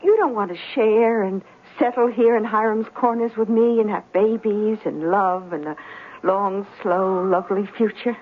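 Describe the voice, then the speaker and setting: An American actress playing a tender young small-town sweetheart, halting and emotional, in old-time radio drama style. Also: female voice, mid-range voice, natural voice. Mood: regretful. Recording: tinny, hissy vintage radio broadcast.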